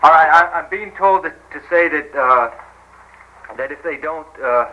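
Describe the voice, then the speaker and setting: An actor playing a tense male reporter held hostage, haltingly relaying demands over a radio telephone. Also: a little high. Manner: halting, stammering, careful. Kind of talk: radio-telephone call acting in news drama. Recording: radio-telephone call with faint noise.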